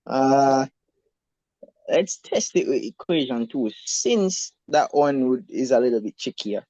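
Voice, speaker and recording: A man's voice: medium pitch, animated, slow, mellow, no echo. A man from Jamaica with a Jamaican accent, a student talking with his tutor over a video call, hesitant and self-correcting. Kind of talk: casual tutoring session. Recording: muffled video call audio.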